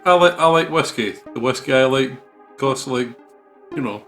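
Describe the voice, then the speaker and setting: A man's voice: mid-range voice, normal speed, a bit bright. A man chatting casually as co-commentator on a game playthrough, in a loose, joking, anecdotal way. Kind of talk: casual chat. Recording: game music clearly behind voice.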